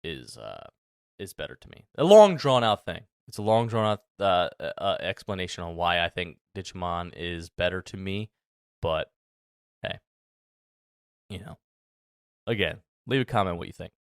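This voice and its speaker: A thirty-year-old man hosts a solo podcast in a deep, smooth voice. He talks casually and loosely, circling back with ums and restarts. The recording is close and dry. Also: big pitch swings, normal speed, pauses often, no room sound. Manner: rambling, many fillers and restarts. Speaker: podcast host.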